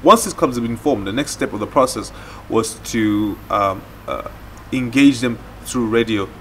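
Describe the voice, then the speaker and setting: A man, explaining a project in a documentary interview outdoors, with deliberate, halting phrasing. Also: mid-range voice, fairly bright tone. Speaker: theatre project leader. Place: outdoors in a leafy courtyard.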